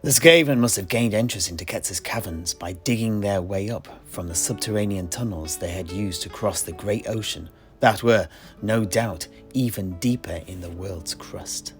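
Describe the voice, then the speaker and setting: A man playing a genial tavern keeper and amateur historian in an audio play, telling his tale in a deliberate, theatrical way with scholarly asides. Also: on the low side, faint music behind, lightly acted. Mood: grave storytelling. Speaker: storyteller and host of an audio play. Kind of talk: in-universe scripted storytelling to tavern listeners.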